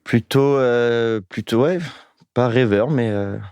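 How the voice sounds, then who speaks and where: A young man from the Paris region, a guest answering questions in a casual interview in a relaxed way, with many short "yeah" replies. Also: medium pitch, slow pace, clear, resonant tone, no echo. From Paris region, France. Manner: laid-back, short answers, many "ouais".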